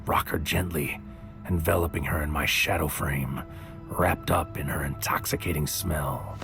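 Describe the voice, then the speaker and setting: A man playing a tormented, brooding man who narrates his surreal story in a poetic, weighty audio drama style. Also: low voice. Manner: poetic, weighty inner monologue.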